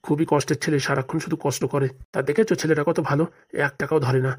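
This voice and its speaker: An actor playing an easygoing, reassuring middle-aged village husband in a comedy cartoon. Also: male voice, a little high, fairly even intonation, fast, lightly acted. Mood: fond, approving.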